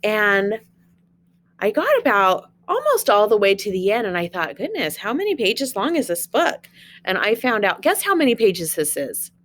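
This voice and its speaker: A woman's voice: mid-range voice, huge pitch swings, moderate pace, a bit bright. A woman teacher reading a children's storybook aloud, expressively, with chatty asides and questions to young listeners.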